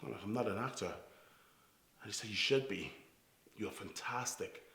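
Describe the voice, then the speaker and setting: A man in his forties from Trinidad, with a Trinidadian accent softened by years in New York, earnestly telling his life story and often restarting his phrases. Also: lowish voice. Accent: Trinidadian with New York influence. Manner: earnest, halting, with repeated restarts. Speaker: youth theatre founder and director. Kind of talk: reflective personal storytelling to camera. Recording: echoey room.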